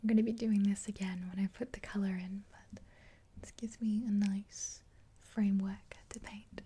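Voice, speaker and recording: A woman in her twenties doing a gentle ASMR roleplay in a soft, unhurried, breathy whisper, close to the mic. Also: medium pitch, little pitch movement. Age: 20s. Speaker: ASMR video creator. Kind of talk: ASMR roleplay, whispered makeover with rambling chat. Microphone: close to the mic, facing camera.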